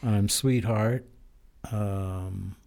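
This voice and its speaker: An older man from Denver, a judge, chatting in an interview, speaking hesitantly with many pauses and fillers and frequent chuckles. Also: lowish voice, steady tone, vocal fry, a little mellow. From Denver, Colorado. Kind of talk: casual interview chat.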